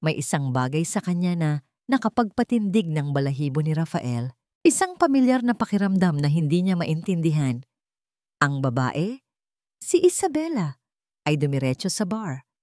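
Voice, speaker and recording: A woman's voice: deep voice, very animated, moderate pace, warm tone, no room sound, clean studio sound. A woman with a Filipino accent narrating a scripted romantic drama story in a dramatic storytelling style.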